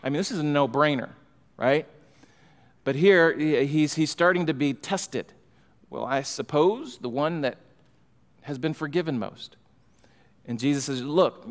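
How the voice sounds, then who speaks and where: A middle-aged man preaching an expository sermon from a pulpit microphone, emphatic and building in fervor. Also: a little high. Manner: emphatic, rising in fervor, gestures while preaching. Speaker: pastor. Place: church sanctuary, large room indoors. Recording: long hall reverb.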